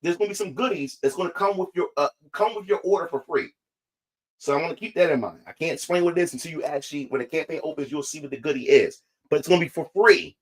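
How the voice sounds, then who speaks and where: A man's voice: on the high side, fast talker. A man talking casually to his viewers, repeating himself and restarting phrases, his voice not quite recovered. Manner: repetitive, restarts phrases often. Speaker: independent comic creator. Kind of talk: casual livestream talk to viewers.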